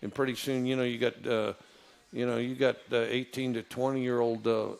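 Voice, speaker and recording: A low-voiced man with a gravelly, creaky edge, telling stories in a relaxed, meandering way. He halts often on fillers and restarts his sentences as he goes. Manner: rambling, halting with many fillers and restarts. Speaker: football coach. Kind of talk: casual storytelling.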